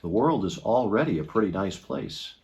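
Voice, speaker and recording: A man's voice: fairly low pitch, expressive, moderate pace, a little mellow, natural voice. A man voicing a thoughtful, adventurous grown woman in a storybook, in a reflective, musing way. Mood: wistful.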